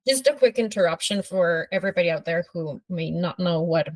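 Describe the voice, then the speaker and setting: A woman's voice: fairly low pitch, brisk, no room sound. A woman hosting a caregiving podcast, introducing her guest and explaining terms for listeners in a friendly way. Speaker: podcast host.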